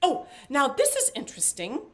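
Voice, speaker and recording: A woman acting an eccentric, evasive mother in a stage play. Her voice sits fairly high, and she rambles along with flippant jokes, theatrical flourishes and stammered asides. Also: huge pitch swings, normal speed, fairly bright tone, exaggerated voice. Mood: exuberant.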